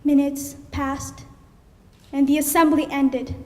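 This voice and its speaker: Very high-voiced young woman storyteller. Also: slow. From Ethiopia. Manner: animated, acts out her own panicked thoughts. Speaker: student storyteller. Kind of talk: personal story told on stage. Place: stage in a hall at a live story slam. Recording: live stage with long hall reverb.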